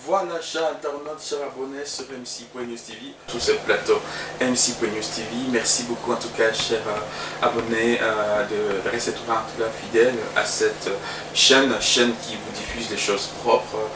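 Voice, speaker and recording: A man hosting a web TV interview, addressing viewers formally and filling pauses with hesitations. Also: medium pitch, steady tone. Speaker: interview host. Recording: roomy sound from a distance with background noise.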